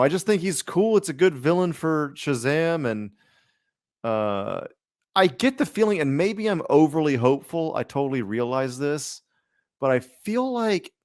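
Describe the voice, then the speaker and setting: A man's voice: on the high side, no echo, clean studio sound. A man co-hosting a podcast, chatting casually about superhero films in a rambling, informal, slangy way. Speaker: podcast co-host.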